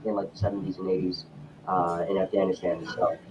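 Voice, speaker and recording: A young man from Michigan, a podcast guest at home, talking in a measured, thoughtful way with frequent pauses. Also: deep voice, level tone, lo-fi sound, background noise. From Lansing, Michigan, US. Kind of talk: casual interview talk.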